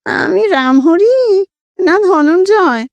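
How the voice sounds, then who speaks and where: Storybook ghost man female performer: high, nasal, slow, apologetic, hesitant, easily muddled. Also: animated, vocal fry, dry recording, exaggerated voice. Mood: humble, pleading. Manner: hesitant, deferential, self-correcting. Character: timid, apologetic, muddled male village ghost.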